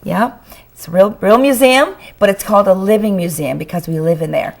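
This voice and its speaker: Canadian woman guest with a hoarse voice. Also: mid-range voice, animated, fairly quick, a little mellow. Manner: enthusiastic, chatty. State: losing her voice. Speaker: author guest. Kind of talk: talk show interview. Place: TV studio.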